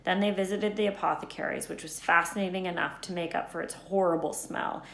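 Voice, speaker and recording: Lower-voiced woman reading aloud, echoey room. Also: fairly even intonation, fairly quick, a bit bright. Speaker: read-aloud storyteller. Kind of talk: scripted children's book narration.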